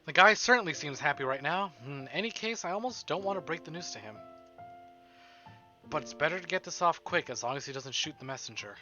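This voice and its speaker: Bright-voiced man narrating a visual novel on stream. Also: fairly high pitch, animated, brisk, highs cut off. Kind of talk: dramatic read-aloud narration with casual asides. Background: soft game music.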